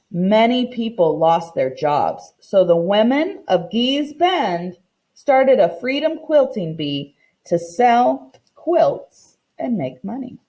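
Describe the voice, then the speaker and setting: A woman reading a storybook aloud like a teacher, expressive and deliberate, explaining as she goes. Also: mid-range voice. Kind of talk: expressive storybook read-aloud with comprehension questions.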